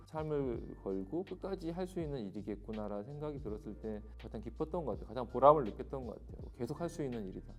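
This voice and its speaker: A man's voice: mid-range voice, a little mellow. A man from South Korea, a stage director, giving reflective interview answers in long, explanatory sentences. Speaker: theatre and musical director, interviewee.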